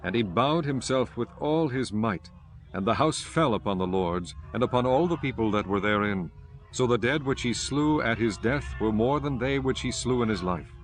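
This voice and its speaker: A man's voice: medium pitch, animated, normal speed. A man giving a scripted narration of scripture as narrator of an audio drama.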